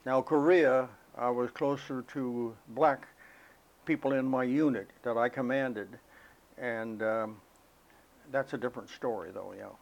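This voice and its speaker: An elderly American man recalling his life as a veteran in an interview, speaking in a halting, reflective, unhurried way. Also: mid-range voice, steady tone, creaky, highs cut off. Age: elderly, 80s or older. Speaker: interviewee, war veteran recalling military service. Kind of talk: oral history interview, personal recollection.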